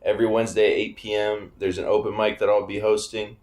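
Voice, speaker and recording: A man's voice: lowish voice, little pitch movement, quick pace, darkish tone. A man guesting on a casual podcast in a house, joking, swearing and laughing often. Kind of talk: casual chat. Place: grandparents' house, indoors. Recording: echoey room.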